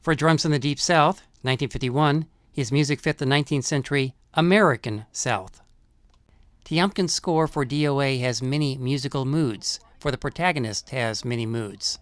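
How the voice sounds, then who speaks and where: A man narrating a scripted essay on film music in a measured, explanatory manner. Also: mid-range voice, dry recording, studio quality.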